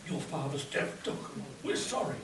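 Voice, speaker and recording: A man's voice: fairly high pitch, sing-song, normal speed, crowd noise. A man playing a self-assured, loyal lawyer sidekick in a live spoof radio play. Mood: earnest. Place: convention hall before a live audience. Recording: echoey distant mic, audience noise.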